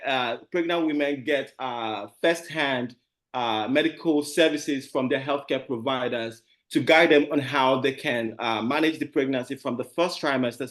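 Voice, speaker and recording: A man speaking as a webinar panelist over a video call, hesitant, pausing on fillers and correcting himself. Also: medium pitch. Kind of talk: online panel talk.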